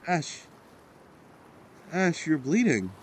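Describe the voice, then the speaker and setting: A man's voice: a little high, big pitch swings, extremely slow, a little hiss or hum, full character voice. An actor, a man, playing a frightened teenage friend who calls out urgently and pleadingly in an audio drama. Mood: worried.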